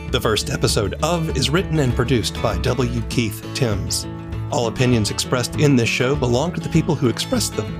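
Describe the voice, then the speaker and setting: A man hosting a podcast interview, soft-spoken and low-key, closing with a scripted outro. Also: slightly rough. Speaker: podcast host.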